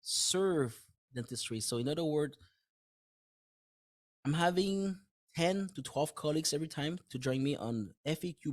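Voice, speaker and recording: A man whose first language is French, a guest on a podcast, talking casually in simple words and often restarting his phrases. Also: fairly high pitch. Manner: thinks aloud in simple words, with frequent restarts and light laughs. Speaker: podcast guest, dentist and author. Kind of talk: casual interview conversation.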